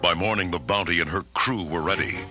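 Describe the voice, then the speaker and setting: A man narrating a children's adventure storybook from a script as its narrator. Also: a little fast. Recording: narration over very loud music, rumbling effects.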